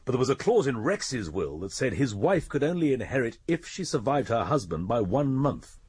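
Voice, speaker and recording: An actor playing a shrewd, methodical English police inspector in a radio drama, measured and probing. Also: male voice, mid-range voice, very animated, darkish tone, husky, dry recording. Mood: musing, businesslike. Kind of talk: British radio drama acting.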